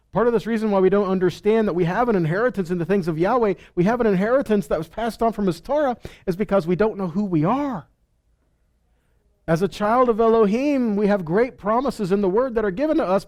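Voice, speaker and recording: High-pitched man teaching scripture conversationally. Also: a little mellow. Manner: didactic, poses questions and answers them. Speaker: Bible teacher. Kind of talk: Bible teaching sermon, conversational.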